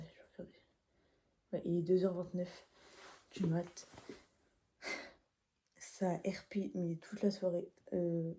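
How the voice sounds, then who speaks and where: A young woman chatting casually to the camera in a vlog, low-key and often murmuring half under her breath. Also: background noise. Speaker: vlogger.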